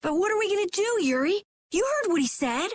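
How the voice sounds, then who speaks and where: An actress playing an eager, nervous young boy adventurer in a video game, with a hesitant, excitable, boyish delivery. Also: very high voice, fairly quick, no echo. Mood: anxious, earnest. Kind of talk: video game cutscene acting.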